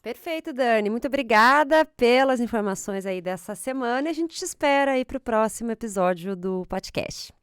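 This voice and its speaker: A woman with a Brazilian Portuguese accent hosts a podcast in a fairly high, smooth and clear voice. Her lines are short conversational prompts and sign-offs, recorded dry and close. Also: lively intonation, moderate pace, hardly any pauses, no room sound. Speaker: podcast host. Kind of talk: podcast hosting.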